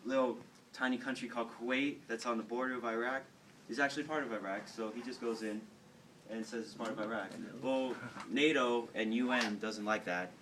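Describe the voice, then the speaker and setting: A seventeen-year-old boy telling a rambling, halting history story to classmates in a casual way. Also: mid-range voice, steady tone. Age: teens. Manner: rambling, halting, with fillers and repeated words. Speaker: student storyteller. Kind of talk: casual informal talk to classmates. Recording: distant mic, faint background noise.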